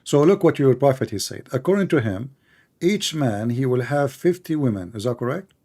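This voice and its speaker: A man's voice: medium pitch, lively intonation, moderate pace, mellow. A man whose first language is Arabic, hosting a call-in show and pressing callers with repeated, insistent questions in non-native English. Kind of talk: heated call-in debate.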